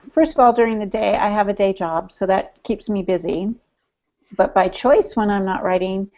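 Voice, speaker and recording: A woman's voice: mid-range voice, darkish tone. A woman co-hosting a podcast, talking casually and conversationally about herself. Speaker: podcast co-host. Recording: dull lo-fi podcast audio.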